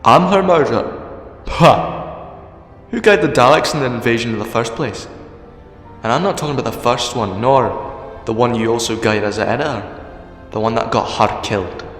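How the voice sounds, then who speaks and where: A man's voice: mid-range voice, lightly acted. A man playing a sardonic, argumentative time-travelling hero in a sci-fi fan audio drama, defiant in temper. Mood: indignant. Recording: echoey reverberant hall, music underneath.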